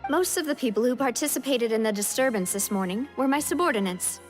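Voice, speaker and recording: A woman plays a poised young female boss from a video game, with a high voice. She lays things out frankly and in good order, music running underneath. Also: fast, close to an everyday voice. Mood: assured, explanatory. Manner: clear, orderly, assured explaining. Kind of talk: video game character acting. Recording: music behind the voice.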